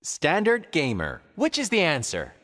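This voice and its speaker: A man voicing an upbeat cartoon quiz show host in a video game, with a theatrical, drawn-out announcer delivery. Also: fairly high pitch, huge pitch swings, fairly bright tone, full character voice. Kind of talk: scripted game show hosting. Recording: reverberant studio with crowd noise.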